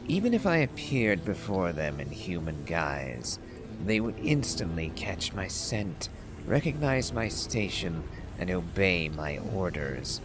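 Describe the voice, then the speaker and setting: A man's voice: sits low, big pitch swings, slow, music in the background, exaggerated voice. A man voicing a proud, stern ancient dragon from a game, in formal, declamatory phrases. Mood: cold, imperious. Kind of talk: dramatic reading of game lore.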